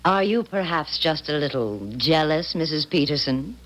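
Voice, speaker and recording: An actress playing a smug, worldly seductress with a mocking, condescending manner in a 1970s radio drama. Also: female voice, low voice, normal speed, lightly acted, rough recording. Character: smug, worldly, taunting seductress, vain and confident.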